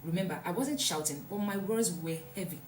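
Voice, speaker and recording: An earnest woman gives reflective, motivational advice and often repeats herself for emphasis. Her voice sits fairly low. The sound is roomy and echoey, with a faint hum underneath. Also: a little fast. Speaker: vlogger.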